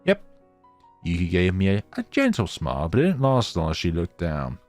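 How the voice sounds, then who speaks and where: A man reading a visual novel aloud in a theatrical, expressive way and adding his own playthrough commentary. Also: deep voice, fairly quick, soft background music.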